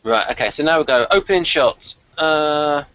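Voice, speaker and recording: A man with a bright, forceful voice hosts a casual review discussion. He rambles chattily, thinking out loud as he goes. The sound is dry and close, though slightly dull and lo-fi. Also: fairly high pitch, animated, moderate pace, no room sound. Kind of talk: casual rambling fan commentary.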